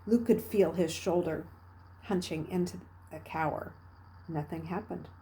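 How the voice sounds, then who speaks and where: A woman reading a children's novel aloud with expressive, dramatic narration, moving between hushed passages and emphatic bursts. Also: lowish voice, a little slow, a little hiss or hum, rough recording. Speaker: read-aloud storyteller.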